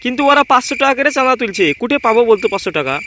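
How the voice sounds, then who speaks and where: A man's voice: extremely high pitch, expressive, very fast, sharp tone, a little hiss or hum, exaggerated voice. A man voicing a young village fellow in a comedy cartoon. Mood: dejected, wounded. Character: boastful young village man, once broke, now rich.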